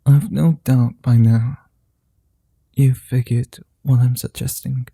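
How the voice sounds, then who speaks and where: A man voicing a flirty, tender male vampire in an ASMR roleplay, speaking slowly, softly and formally, often in whispers, close to the mic. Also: medium pitch, steady tone, gravelly, slightly rough, no room sound, heavily acted. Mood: tender whispering. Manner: slow, soft and often whispered; old-fashioned formal speech.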